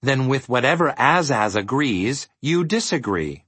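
Young storybook boy, read by a man: earnest, questioning, very mobile pitch, slightly husky. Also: sing-song, a little slow, fairly bright tone, dry recording, heavily acted. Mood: earnest, doubtful.

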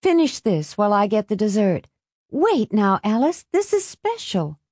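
A woman's voice: mid-range voice, very animated, moderate pace, darkish tone, no room sound, studio quality, voice pushed up. A woman voicing a bitter, strained mother in a storybook reading, with an emphatic, wounded manner. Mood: insistent. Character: bitter, strained small-town mother, brittle and blurting.

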